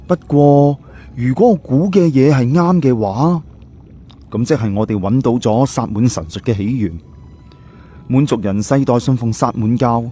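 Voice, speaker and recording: A man's voice: mid-range voice, dark tone, a little hiss or hum, natural voice. A man playing an earnest, thoughtful young adventurer in an audiobook, explaining plans seriously. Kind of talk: audiobook character acting.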